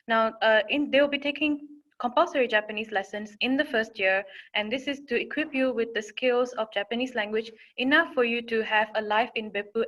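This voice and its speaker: A woman's voice: fairly high pitch, a bit bright. A young woman from Singapore, an admissions counselor giving an informative webinar presentation with small fillers and restarts.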